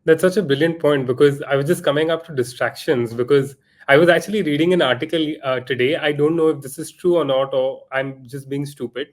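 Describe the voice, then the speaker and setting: Rambling man in his thirties, slightly high voice. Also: fairly even intonation, fairly quick, hardly any pauses. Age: 30s. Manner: rambling, many fillers, self-deprecating. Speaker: podcast host. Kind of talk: casual interview questions.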